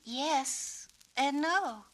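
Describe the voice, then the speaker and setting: A woman voicing an earnest, imaginative young schoolgirl in a children's story, with an excitable, emphatic manner. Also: high-pitched, extremely slow, voice pushed up, exaggerated voice. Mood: shy, pleased. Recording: noisy 1970s children's story record.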